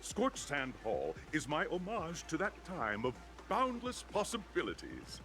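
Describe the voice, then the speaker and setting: A man's voice: fairly high pitch, bright, forceful tone, a little hiss or hum, full character voice, poor audio. Flamboyant, wildly swooping tycoon showman. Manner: grand, theatrical announcing. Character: flamboyant wealthy tycoon showman, an eager male host. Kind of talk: video game character acting.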